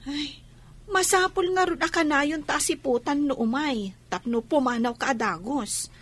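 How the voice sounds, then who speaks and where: A woman with an Ilocano accent playing a lively, helpful young cousin in a radio drama. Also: high voice, normal speed, close to an everyday voice. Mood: concerned.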